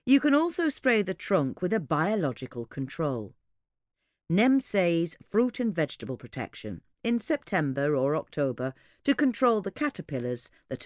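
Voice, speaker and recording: Female podcast reader, low register with lively intonation, scripted informative delivery, measured and articulate. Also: no room sound, clean studio sound. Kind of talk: scripted informative narration of gardening advice.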